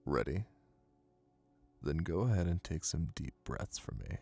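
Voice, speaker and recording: A man plays a young hypnotist classmate with a low voice full of heavy vocal fry. He is confident and dominant, guiding in a soft, soothing ASMR manner. His delivery is slow and deliberate. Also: very animated, faint music behind, full character voice. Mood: soothing, commanding. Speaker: voice actor.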